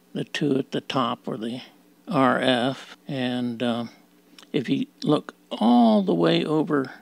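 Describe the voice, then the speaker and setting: Male presenter for a hands-on technical demo, unhurried and halting, with a creaky edge. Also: mid-range voice, a bit bright, dry recording. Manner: halting, explanatory delivery in short phrases. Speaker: presenter of a vintage radio demonstration. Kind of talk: informal technical walkthrough.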